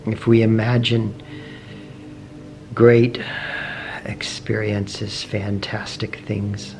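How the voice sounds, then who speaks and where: Slow, gravelly man guiding meditation. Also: lowish voice, little pitch movement. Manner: gentle, measured, with long pauses between short phrases. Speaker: meditation teacher. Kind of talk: guided meditation. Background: soft meditation music.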